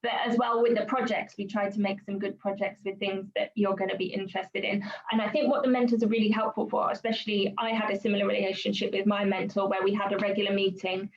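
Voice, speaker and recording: A woman's voice: mid-range voice, level tone, fast, few pauses. A woman hosting a conversational interview as the interviewer. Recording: slightly dull, lo-fi audio.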